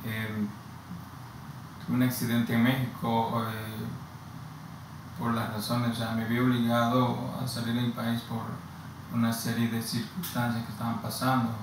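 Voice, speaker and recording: A man in his thirties from Honduras, speaking with a Honduran Spanish accent, giving a personal testimony slowly and haltingly, with many restarts. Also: medium pitch, monotone. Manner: slow, halting, with frequent restarts and repeated words. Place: plain indoor room against a bare wall. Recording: very echoey room, distant mic, audible hiss.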